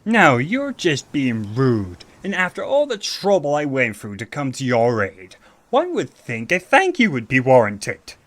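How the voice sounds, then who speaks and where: A man's voice: mid-range voice, wildly swooping pitch, unhurried, fairly bright tone, heavily acted. A man playing a grand, protective archangel in a fantasy audio drama, speaking in a formal, theatrical way. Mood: wry, concerned. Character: grand male archangel, commanding, protective elder brother.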